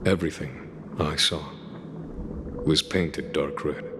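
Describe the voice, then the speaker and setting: An actor playing a seasoned, battle-hardened warrior in a video game cutscene, speaking formally and solemnly. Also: male voice, very deep voice, fairly even intonation, noisy background. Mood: somber, haunted. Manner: formal, measured, solemn storytelling. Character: seasoned, grave male veteran warrior, long-lived and battle-hardened. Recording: long reverb, loud music behind.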